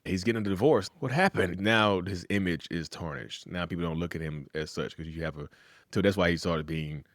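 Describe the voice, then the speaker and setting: A man hosting a podcast in a casual conversational interview, animated, often acting out quoted voices. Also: sits low, fairly quick, no room sound, clean studio sound. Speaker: podcast host.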